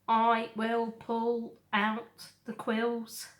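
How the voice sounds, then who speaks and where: A woman voicing a calm, helpful female robot in a children's storybook, speaking in a measured, deliberate way. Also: on the high side. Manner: measured, deliberate, even-tempered. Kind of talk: children's storybook character reading.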